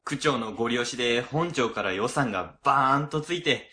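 Bright-voiced, chatty male office worker played by an actor. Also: mid-range voice, expressive, normal speed. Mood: wry, gossipy. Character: chatty male office colleague recounting a meeting, mild. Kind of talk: radio drama acting, explanatory dialogue.

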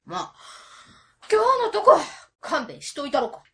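A woman's voice: high-pitched, huge pitch swings, a little slow, exaggerated voice. A woman playing a blunt, hot-tempered middle-aged auntie with a Kansai accent in a radio drama, talking in a brash, scolding way. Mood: grudging. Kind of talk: web radio drama acting.

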